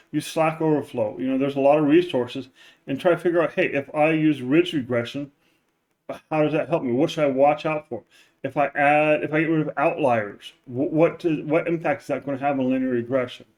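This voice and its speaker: A man teaching as a professor in a loose, informal recorded lecture, with many fillers and restarts, his voice run through a neural normalizing filter. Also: darkish tone. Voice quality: says his voice is very deep. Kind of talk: casual recorded class lecture.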